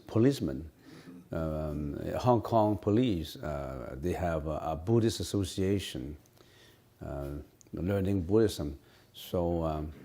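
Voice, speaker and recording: A man whose first language is Cantonese, a Buddhist teacher giving an unscripted dharma talk in halting speech with many fillers and restarts. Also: low-pitched, warm tone.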